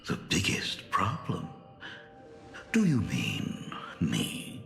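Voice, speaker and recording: An actor playing a sly, menacing game villain posing as someone's father, with theatrical, taunting, drawn-out delivery. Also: deep voice, huge pitch swings, creaky, a bit bright, exaggerated voice. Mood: feigned innocence. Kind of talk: dramatic video game acting. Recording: echoey hall reverb. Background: music.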